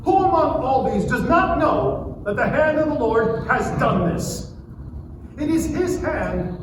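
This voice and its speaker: A man playing an anguished, defiant man pleading against God, declaiming with drawn-out phrases in a dramatic scripture reading. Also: extremely high pitch, animated, exaggerated voice. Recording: distant, very echoey, noisy live room.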